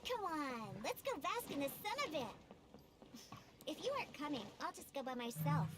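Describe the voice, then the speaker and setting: A woman voicing a small, childlike, excitable and cheeky talking fairy in a video game. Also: high voice, normal speed. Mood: chirpy, impatient. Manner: speaks of herself in third person, exclaims. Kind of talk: video game character acting.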